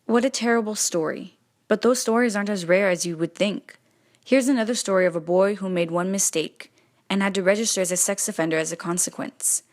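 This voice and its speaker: A woman's voice: medium pitch, a little fast. Woman presenting in scripted narration.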